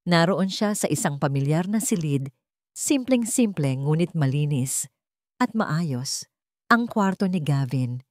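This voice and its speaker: A woman storyteller narrating a scripted romantic drama in deliberate, dramatic short phrases. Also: low-pitched, animated, darkish tone, dry recording, studio quality.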